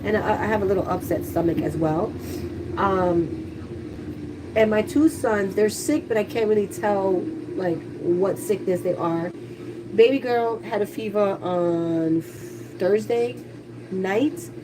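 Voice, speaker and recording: A woman's voice: mid-range voice, slow pace, distant mic, background noise. Congested woman chatting on a home livestream. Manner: chatty, candid, talks to viewers. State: congested, stuffy nose. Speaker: livestreaming YouTuber. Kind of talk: casual livestream chat. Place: kitchen at home, household noise.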